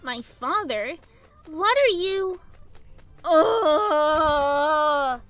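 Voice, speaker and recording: A very high-pitched little girl pony villain for an audio drama. She is bratty and indignant, whining in exasperation with lively swings of pitch, over clear background music. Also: extremely slow, dry recording, heavily acted. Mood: disgusted. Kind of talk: fan fiction audio drama acting.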